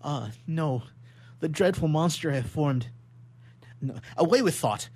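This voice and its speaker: An actor playing an anguished, horror-stricken young scientist in a melodramatic radio play, declaiming in a halting, overwrought way. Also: male voice, on the high side, animated, darkish tone. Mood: longing, agitated. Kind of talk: melodramatic 19th-century stage acting in radio theatre.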